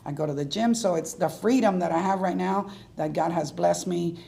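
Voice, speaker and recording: A woman's voice: on the low side, normal speed, creaky, warm tone. A woman in her sixties from Cuba, chatting casually and laughing easily in a conversation with a younger man. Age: 60s. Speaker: senior interview participant.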